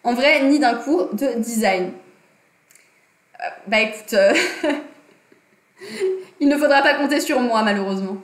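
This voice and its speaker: A woman's voice: a little high, animated, slow, long pauses, echoey room. A young woman hosting a casual livestream lesson, chatty and full of fillers and self-corrections, talking at her desk in a small room. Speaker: livestream host. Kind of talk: casual livestream talk on design and politics.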